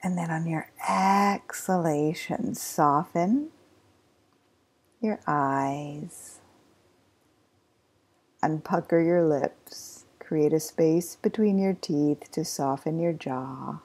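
A woman guides a body-scan meditation in a low, mellow voice. She gives each instruction slowly and softly, with long pauses between them. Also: breathy edge. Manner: slow, soft instructions with drawn-out pauses. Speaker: yoga nidra teacher.

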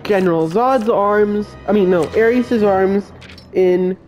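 Stuffy congested guy walking thru a game build real slow, mellow voice, kinda high. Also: faint music behind. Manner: slow, plain instruction-giving voice-over. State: congested with a cold and cough. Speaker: game tutorial host. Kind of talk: step-by-step game build tutorial.

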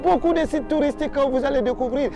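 Very high, gravelly-voiced exuberant man. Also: fast talker. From Guinea. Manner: exuberant, loud exclamations, switches between French and English. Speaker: reporter and tour host. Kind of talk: street tour reporting. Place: outdoors on a city lawn and plaza. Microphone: handheld camera, medium shot. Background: background music.

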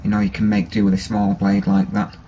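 Man, low, level delivery, casual and hesitant, workshop camera mic. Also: a little fast, slight background noise. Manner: relaxed, hesitant with many ums and restarts. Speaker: bushcraft hobbyist showing a knife. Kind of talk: casual show-and-tell. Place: workshop with a grinder and workbench.

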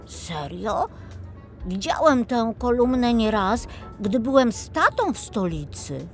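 A woman's voice: a little high, very animated, takes it slowly, soft background music, full character voice. A woman voicing an eager little boy of five in a children's audio tale. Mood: excited, eager. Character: eager five-year-old boy who loves adventure.

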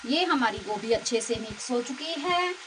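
Home-cook host, female, higher-pitched, steady step-by-step delivery, kitchen sizzle audible. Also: normal speed. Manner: plain, steady explaining of each step. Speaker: cooking channel host. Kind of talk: step-by-step cooking narration. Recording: clear kitchen cooking noise. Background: sizzling and stirring in a pan.

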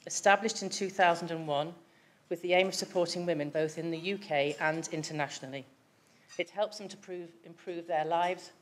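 A middle-aged woman, chair of an awards lunch, delivers a prepared speech from a lectern in a large hall. Her voice sits low, and her delivery is measured, formal and gracious. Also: a little hiss or hum. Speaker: event chair. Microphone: lectern microphone.

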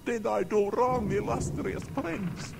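Creaky, dignified Inca noble. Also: male voice, sits high, full character voice. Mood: solemn, respectful. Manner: formal, deliberate. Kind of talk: radio drama acting. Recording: noisy radio drama soundscape.

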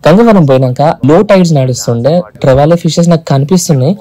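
A man's voice: on the high side, fast talker. A man, casually narrating a fishing vlog outdoors on a rocky shore and mixing in English phrases. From Telangana. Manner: excitable, switches into English phrases. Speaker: fishing vlogger. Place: rocky seashore at night, outdoors. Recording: outdoor camera audio with wind and surf noise.

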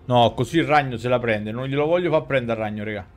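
A man's voice: medium pitch, fast talker. A man in his thirties with a Roman Italian accent, giving casual, colloquial live gameplay commentary into a headset. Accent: central Italian, Roman. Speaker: video game streamer. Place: indoor room, gaming setup. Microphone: headset microphone. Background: game music.

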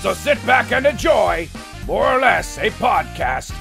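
A man's voice: sits high, very animated, measured pace, vocal fry, fairly bright tone, faint music behind. A man hosting and narrating a scripted video intro in a playful, upbeat announcer manner. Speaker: host.

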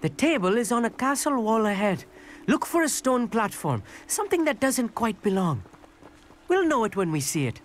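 A man's voice: high-pitched, big pitch swings, normal speed, slight background noise. An actor playing an eager, bookish, nervous teenage boy astronomer in a video game, chatty and slightly formal in manner. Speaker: companion character in a fantasy video game. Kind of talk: scripted game dialogue. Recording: game music under the voice.

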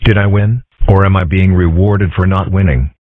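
Husky low-voiced man, deadpan and tinny. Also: normal speed, no room sound. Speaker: comic dub voice actor. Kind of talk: reading comic strip dialogue aloud.

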